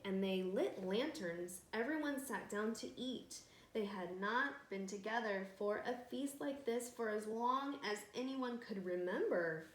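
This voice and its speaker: Female read-aloud storyteller, unhurried and teacherly, expressive picture-book delivery, echoey room with faint noise. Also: medium pitch.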